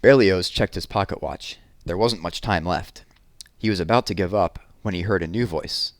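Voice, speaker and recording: A man's voice: deep voice, normal speed, no room sound. A man narrating a children's picture book as a read-aloud. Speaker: storybook reader.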